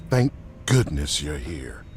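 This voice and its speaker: An actor playing a formal, serious scientist with precise speech in a visual novel. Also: male voice, very deep voice, very animated, slow, music in the background, exaggerated voice. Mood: grave, urgent.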